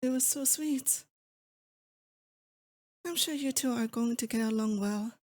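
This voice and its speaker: A woman playing a possessive, affectionate demon lord in an intimate ASMR roleplay, speaking to her captive sweetheart in a doting, wistful, unhurried way. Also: fairly high pitch. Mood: teasing, fond. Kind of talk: roleplay ASMR, intimate scripted monologue to the listener.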